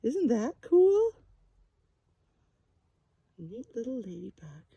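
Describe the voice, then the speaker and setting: A woman reading a picture book aloud to young children as a teacher, gently and with sing-song, exaggerated expression, often addressing them directly. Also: fairly high pitch, big pitch swings, slow pace, husky, muffled recording.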